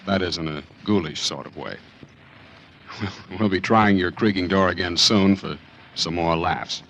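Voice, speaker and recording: A man's voice: sits low, fairly bright tone, a little hiss or hum. A man playing a courteous, droll mystery show host in 1940s radio style, on an old recording. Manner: polite, droll, measured. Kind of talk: scripted 1940s radio hosting.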